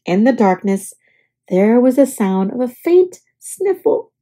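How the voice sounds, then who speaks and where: Lady doing a kids read-aloud, high voice, really animated, bit husky. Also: unhurried. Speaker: storybook reader. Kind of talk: expressive children's read-aloud narration.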